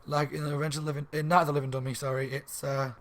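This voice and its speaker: Slightly hoarse man rambling casually. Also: a little high, level tone, rapid-fire, fairly bright tone. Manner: rambling, lots of ums and restarts. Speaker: book reviewer. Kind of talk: casual review chat. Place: room at home by a window on a main street.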